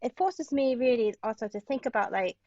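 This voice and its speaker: A woman's voice: fairly high pitch, expressive, brisk, darkish tone, no room sound. Chatty woman guest, dull dry recording. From Hong Kong. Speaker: playwright and translator, interview guest. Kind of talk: casual conversational interview.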